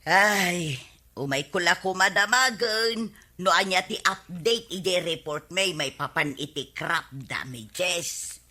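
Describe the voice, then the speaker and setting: A woman's voice: lowish voice, slow, pushed and bright, exaggerated voice. A woman playing a nosy, scheming village gossip in an Ilocano radio comedy drama, with an Ilocano accent and a teasing, needling delivery. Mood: defensive.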